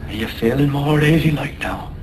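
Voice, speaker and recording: A man's voice: medium pitch, normal speed, very noisy, lightly acted. An actor playing a loyal, protective, fiery sailor in a radio drama, anxious for his shipmate and emphatic in speech. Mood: tender.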